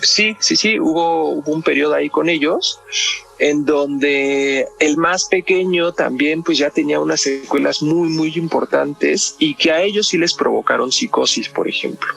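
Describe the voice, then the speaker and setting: A man's voice: a little high, measured pace, creaky, fairly bright tone, soft background music. A Mexican man explaining things conversationally as a psychologist guest on a podcast, often ending phrases with a tag question. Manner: constantly checks with tag questions.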